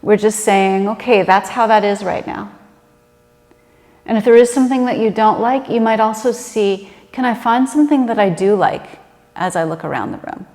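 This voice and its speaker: Woman guiding meditation in a reverberant room. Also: mid-range voice. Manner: gentle, unhurried, conversational instruction. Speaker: meditation guide. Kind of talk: guided meditation. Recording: reverberant room with long echo.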